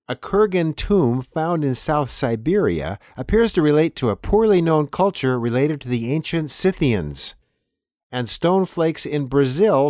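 A man reads scripted announcements as a program host. His mid-pitched voice moves up and down in an animated way. The sound is dry, with no room echo.

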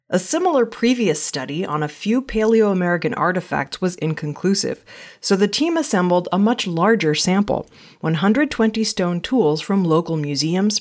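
A woman with a slightly low voice narrates news from a script. Her delivery is measured and formal, like a news reader presenting a weekly round-up of reports.

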